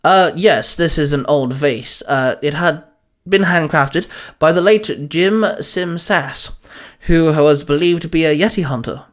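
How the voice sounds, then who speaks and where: A man's voice: fairly high pitch, unhurried, natural voice. A man voicing a chirpy, knowing shopkeeper who mentors a younger man in an audio story. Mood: chatty.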